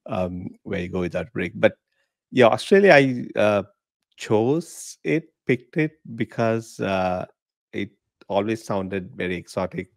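Middle-aged man from Sri Lanka, low and dry, easygoing and laughing podcast guest. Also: measured pace, vocal fry, no room sound. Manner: relaxed, chatty, laughs often. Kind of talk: casual interview chat. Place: home living room. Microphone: podcast mic in frame, video call.

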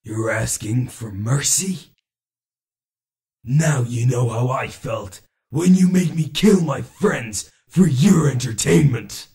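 A man's voice: medium pitch, takes it slowly, gravelly, darkish tone, breathy edge, exaggerated voice. A man voicing a bitter, vengeful robot warrior with a grim, intense delivery. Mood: bitter vengeance. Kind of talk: fan voice reel, dramatic acting.

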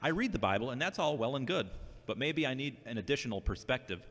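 A man's voice: mid-range voice, lively intonation, fast, fairly bright tone. A man playing an earnest, devout, searching younger brother who questions himself, in an amateur audio drama. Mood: earnest, reasoning. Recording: reverberant hall-like sound.

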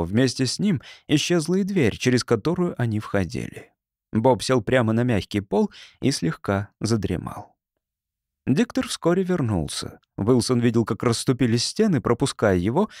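A man's voice: mid-range voice, a little mellow, no echo, studio quality. A man narrating a science fiction audiobook from a script.

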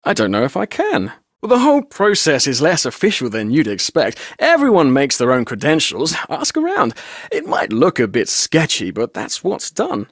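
Lively, glib, roguish tour guide. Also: male voice, a little high, normal speed, no echo, clean studio sound, heavily acted. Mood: glib, scheming. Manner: glib, jaunty, theatrical storyteller. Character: chatty, roguish, wisecracking male tour guide. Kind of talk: video game character dialogue.

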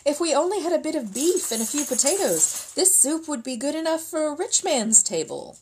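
A man's voice: very high-pitched, very animated, normal speed, darkish tone, a little hiss or hum, voice pushed up. A woman voicing crafty, cheerful soldiers in a storybook, coaxing and exclaiming with mock wistfulness. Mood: wistful coaxing.

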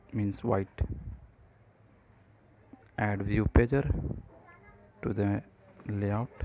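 A man narrating a step-by-step programming tutorial, speaking haltingly in short phrases. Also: lowish voice, gravelly, warm tone, slight background noise, poor audio.

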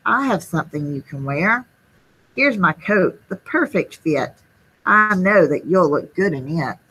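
A woman's voice: lowish voice, expressive, a little slow, fairly bright tone, breathy edge, exaggerated voice, poor audio. A woman voicing helpful, eager young children in a storybook, with an exclaiming delivery. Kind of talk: children's storybook read-aloud.

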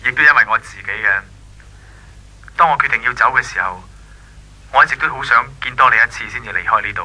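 Earnest young man on telephone, sharp. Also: mid-range voice, quick pace, rough recording. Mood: hesitant, earnest. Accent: Hong Kong Cantonese. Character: earnest, wistful young male family friend, courteous and reserved. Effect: telephone filter. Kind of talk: 1980s Cantonese radio drama acting.

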